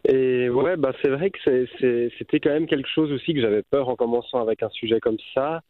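A man's voice: medium pitch, brisk, dry recording. Swiss French man on a tinny phone line. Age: 30s. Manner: reflective, many fillers. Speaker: guest filmmaker. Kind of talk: radio interview by phone.

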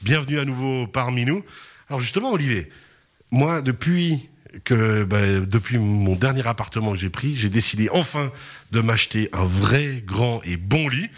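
Guy hosting local radio, laughs a lot, lots of euhs, mid-pitched voice. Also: normal speed. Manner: lively, laughs often, hesitant fillers. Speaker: radio show host. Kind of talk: casual radio chat.

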